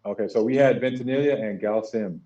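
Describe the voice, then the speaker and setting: Male host, from the US, lively questions, mellow tone, lo-fi dull sound. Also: on the low side, a little fast. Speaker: podcast host. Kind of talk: casual interview chat.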